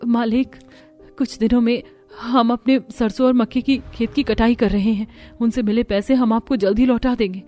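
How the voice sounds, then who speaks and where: A woman playing a gentle, worried young daughter-in-law from a poor household in a cartoon, respectful and concerned. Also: on the high side, steady tone, normal speed, faint music behind, lightly acted. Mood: pleading. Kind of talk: Hindi cartoon story dialogue.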